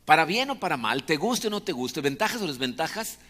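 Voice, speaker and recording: A man's voice: fairly high pitch, sing-song, a little fast, bright, forceful tone. A man with a Mexican accent preaching a conversational, explanatory sermon, often checking for agreement with short tag questions. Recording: long hall reverb.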